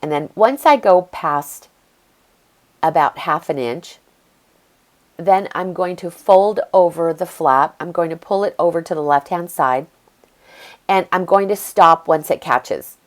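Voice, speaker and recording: Brief: middle-aged woman, low voice, stuffy-nosed, relaxed craft tutorial host talking while she works. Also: measured pace. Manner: chatty, easygoing, explains as she works. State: congested from allergies. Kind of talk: step-by-step craft tutorial voice-over.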